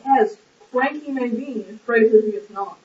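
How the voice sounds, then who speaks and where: A woman's voice: fairly high pitch, a little slow, close to an everyday voice. Woman playing a blunt, indignant duke, echoey room. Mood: stubborn. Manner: blunt, exclamatory, argumentative. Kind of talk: stage-play acting in an amateur puppet show. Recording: echoey, muffled, distant lo-fi recording.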